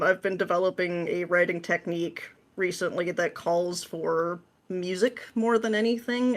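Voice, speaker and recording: A woman's voice: on the low side, fairly bright tone. A woman speaking as an interview guest, explaining things in a casual, playful, slangy way. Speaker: podcast guest, script writer.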